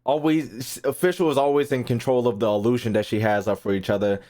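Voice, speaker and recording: A man's voice: mid-range voice, fairly even intonation, a little fast, dark tone. A man giving casual, slangy gaming commentary as a streamer, swearing freely and repeating phrases as he thinks aloud. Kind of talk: casual reaction commentary to a live chat.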